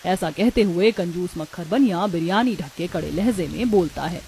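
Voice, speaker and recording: A woman's voice: lowish voice, moderate pace, warm tone, slight background noise. A woman giving scripted, dramatic storytelling narration for a moral tale.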